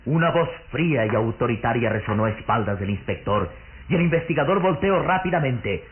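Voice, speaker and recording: An actor playing a grave, theatrical narrator of a suspenseful gothic horror radio drama. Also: male voice, mid-range voice, expressive, fairly quick, slight background noise, lightly acted. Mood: grave, ominous. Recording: muffled old radio recording with music.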